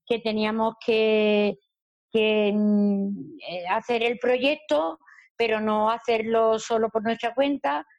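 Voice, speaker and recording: A woman with an Andalusian accent answers interview questions in an easygoing way, working through her explanation step by step with plenty of fillers. Her voice sits fairly high, and it comes over a slightly muffled, dull remote line. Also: darkish tone. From Andalusia, Spain. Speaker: campsite group coordinator and social media manager.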